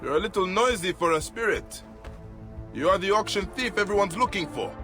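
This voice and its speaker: A man playing a stern, determined investigator in a video game, speaking tersely and deliberately. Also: high voice, expressive, pushed and bright. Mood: firm, confronting. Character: stern, determined male investigator hunting a relic thief. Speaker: game protagonist. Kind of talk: dramatic video game acting. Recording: music behind the voice. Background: cinematic game music.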